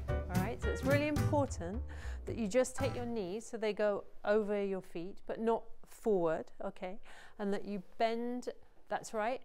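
A woman's voice: medium pitch, darkish tone. A middle-aged woman instructing someone hands-on through exercises, often checking that they understand. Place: indoor gym. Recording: music behind the voice. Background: music.

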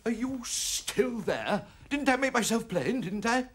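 A man's voice: very high-pitched, animated, unhurried, a bit bright, full character voice. A man voicing a nervous, fussy night watchman in a cartoon, fretting and shooing people away. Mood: fretful. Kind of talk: cartoon voice acting.

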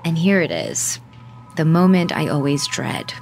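A woman's voice: low voice, very animated, a little slow, vocal fry, a little hiss or hum, full character voice. An actress playing a candid, self-aware female podcast reporter narrating a scripted audio drama. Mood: candid, wry. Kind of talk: scripted first-person documentary narration.

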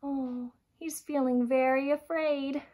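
A woman in her thirties reading a picture book aloud to young children in an expressive, dramatic way, stressing key words and addressing her listeners directly. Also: sits high. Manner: dramatic gasps and emphatic, drawn-out key words. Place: small furnished room at home, indoors.

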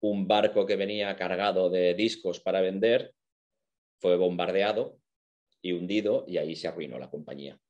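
Low-pitched Spanish man lecturing informally. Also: normal speed, darkish tone, muffled recording. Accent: Spain. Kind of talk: informal explanatory lecture with slides.

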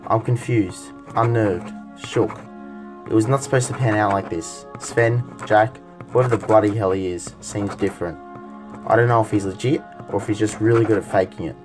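An actor playing a weary, embittered special agent in a modern radio play, blunt and exasperated. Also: male voice, fairly low pitch, moderate pace, over music, close to an everyday voice. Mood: weary, shaken.

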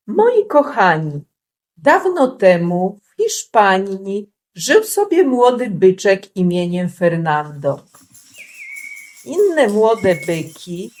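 A woman storyteller narrating a rhymed children's storybook. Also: fairly high pitch.

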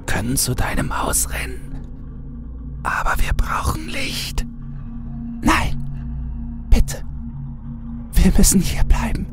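A man narrating a horror audiobook in a hushed, often whispering manner, with music underneath. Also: low voice.

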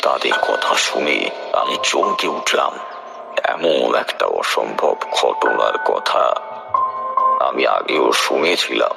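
A man's voice: very deep voice, big pitch swings, slow, gravelly. A man narrating a horror story in the first person, dramatic and suspenseful, with a lingering delivery. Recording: loud music bed under the voice. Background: loud background music.

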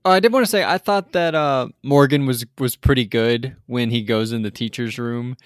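A man's voice: medium pitch, normal speed, dry recording, studio quality. A man co-hosting a podcast, chatting casually through an episode recap with lots of hesitant restarts. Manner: rambling, hesitant, many restarts. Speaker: podcast co-host.

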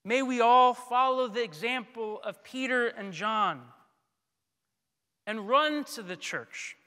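Man, clear resonant voice, unhurried solemn preaching, measured phrases, long church echo. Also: very high voice, sharp tone. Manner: solemn, deliberate, with frequent pauses. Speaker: priest. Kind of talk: sermon, measured scripted delivery. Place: large church, indoors at a pulpit. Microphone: gooseneck pulpit microphone.

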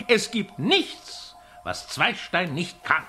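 A megalomaniac villain from a radio play, gloating with false politeness. The voice is fairly high for a man, sharp and forceful, with theatrical swoops in pitch. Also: big pitch swings, slow pace, faint music behind, exaggerated voice. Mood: gloating, mocking. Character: megalomaniac mad villain scientist, gloating, mock-courteous.

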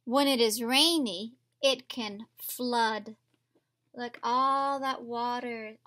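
A woman's voice: a little high, fairly even intonation, extremely slow, fairly bright tone. A woman teacher reading aloud to young children, instructing and putting questions to them directly. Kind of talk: read-aloud storybook lesson for young children. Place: indoors at a table, reading from a picture book.

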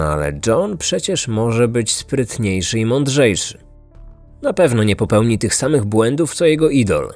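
A male podcast narrator reads a scripted true-crime story. His voice sits at a medium pitch, with music playing underneath. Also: darkish tone.

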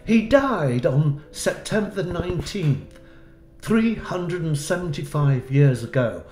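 Slow, dry-humoured man narrating a church tour. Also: a little high, animated, darkish tone, echoey room, soft background music. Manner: slow, dry wry asides. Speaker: church tour video host.

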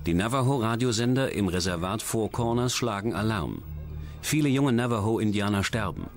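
Low-pitched male documentary narrator over music. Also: moderate pace. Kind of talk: scripted narration. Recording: loud music bed under voice.

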